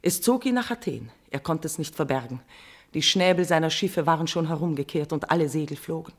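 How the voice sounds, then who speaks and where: An actress playing a guilt-ridden queen in a classical tragedy, declaiming verse with a husky voice, impassioned and swinging between hush and outcry. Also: sits low, a little fast. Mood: urgent pleading. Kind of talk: declamatory classical German stage verse acting.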